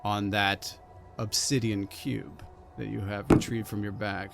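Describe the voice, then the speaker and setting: A man narrating a tabletop role-playing game as game master, describing scenes in a relaxed, vivid way. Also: on the low side, unhurried, gravelly, loud music behind the voice.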